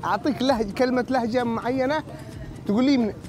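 High, fast, friendly Yemeni man. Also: background noise. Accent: Yemeni Arabic. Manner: friendly, polite, chatty with elders. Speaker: co-host. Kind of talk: street quiz with passers-by. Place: outdoor street in a town. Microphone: handheld mic.

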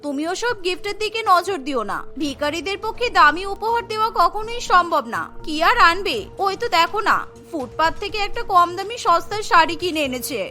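A spoiled young woman from a cartoon, the haughty sister-in-law, put on by a female voice actor. She is very high-pitched and bright, with a snippy, demanding delivery. There is light music behind her. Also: expressive, a little fast, exaggerated voice.

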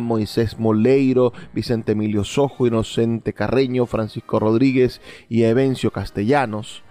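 A man's voice: medium pitch, warm tone. A man with a Venezuelan accent presenting a radio programme about music and composers. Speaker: radio host.

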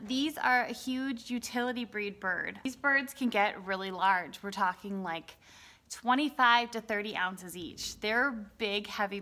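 Woman in her 30s rambling with lots of ums, bright voice, phone held out in a barn. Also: fairly high pitch. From Wisconsin, United States. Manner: chatty, lots of ums and likes. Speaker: hobby farmer vlogger. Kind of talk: casual farm vlog. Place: inside a wooden barn pigeon coop. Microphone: phone held at arm's length.

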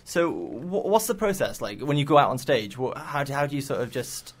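Quick-talking male student radio host, eager, tripping over his words. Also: medium pitch, sing-song. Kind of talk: casual radio interview. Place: radio studio.

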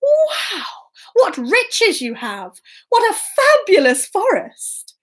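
Woman doing a posh-mannered storybook king, high and slow, grand exclaiming, easily impressed. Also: huge pitch swings, exaggerated voice. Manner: grand, exclaiming, drawn-out delivery.

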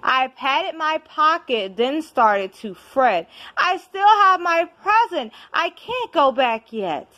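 Slow, high-voiced woman reading a storybook. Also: very animated, pushed and bright. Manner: measured, deliberate storybook delivery. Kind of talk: expressive children's picture-book read-aloud. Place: indoors at home.

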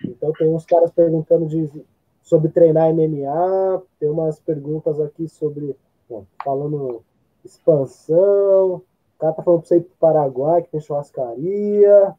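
A man with a husky, high voice chats loosely on a video call, spinning a story with laid-back slang. The sound is dull and lo-fi, like a laptop mic. He talks from a room at home. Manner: relaxed storyteller, drawn-out slang asides. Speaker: podcast co-host. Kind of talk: casual chat on a video call. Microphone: laptop or earphone mic on a video call.

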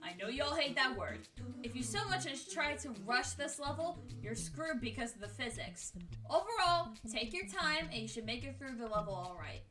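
A woman's voice: sits high, very animated, moderate pace, recorded from a distance. A woman hosting a gaming video, giving casual scripted countdown commentary in an exasperated, sweary, jokey way. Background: music.

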